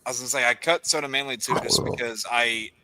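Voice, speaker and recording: Bright-voiced man rambling on a call. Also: mid-range voice, fast talker, no room sound. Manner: rambling, restarts sentences. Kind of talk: casual group chat.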